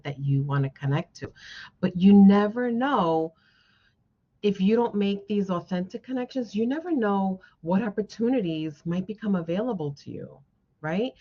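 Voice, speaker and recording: A woman with a notably low voice speaks as a guest presenter to a class. She is encouraging and keeps checking in with listeners. The recording is dull and lo-fi. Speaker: guest speaker, social worker. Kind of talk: motivational talk to a class. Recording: dull, band-limited lo-fi sound.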